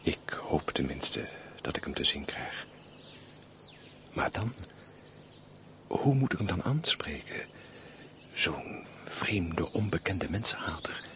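An actor playing an eager, lovestruck army major in a radio drama, musing to himself in a breathy half-whisper. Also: male voice, deep voice, big pitch swings, slow, long pauses, fairly bright tone, a little hiss or hum, full character voice. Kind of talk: theatrical radio drama soliloquy.

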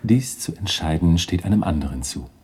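Deep, husky, solemn Christmas spirit. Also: male voice, expressive, darkish tone, exaggerated voice. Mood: grave, guiding. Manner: measured, solemn pronouncements. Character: solemn male Christmas spirit guiding a sinner, grave and stern. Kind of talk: 1960s German radio play acting.

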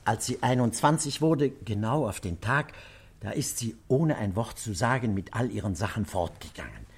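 Husky, stammering, anxious ailing father. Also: fairly low pitch, animated, moderate pace. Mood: evasive, embarrassed. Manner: hesitant, stammering, apologetic. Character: anxious, ailing, evasive father of a grown son. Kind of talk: German radio play acting.

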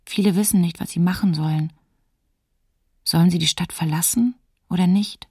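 A woman's voice: fairly low pitch, steady tone, normal speed, a little mellow, slightly rough, no echo, studio quality. An actress playing an anxious female diarist, reading her entries in a subdued, intimate way in a documentary radio play. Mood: uneasy, subdued.

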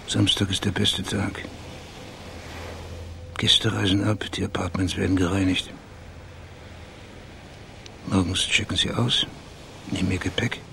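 An actor playing a sleuth in a German radio crime drama, with a gravelly voice and a terse, measured, matter-of-fact manner. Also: male voice, sits low, fairly bright tone, slight background noise, heavily acted. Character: low-voiced male sleuth calmly reconstructing a murder.

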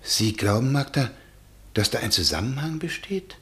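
A man's voice: mid-range voice, very animated, normal speed, bright, forceful tone. A man acting in a German radio play as a naive, bookish, earnest fellow, formal and pleading, easily flustered. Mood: hurt, bewildered.

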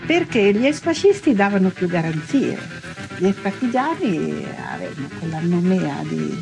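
An older woman recollects her life as a witness. Her voice is fairly low and very creaky, with animated intonation. Loud music plays behind her. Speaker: former partisan giving testimony. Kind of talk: recollective oral testimony.

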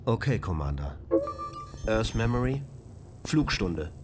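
A man's voice: on the low side, big pitch swings, takes it slowly, over music. An actor playing a polite male ship computer AI in a radio play, speaking formally and logically. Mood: even. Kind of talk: radio drama acting.

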